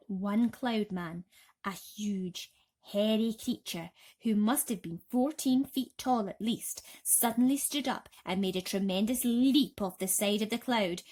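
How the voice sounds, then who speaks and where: A woman narrating a bedtime storybook expressively and dramatically, slowing down for suspense. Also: medium pitch. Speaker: narrator reading bedtime stories.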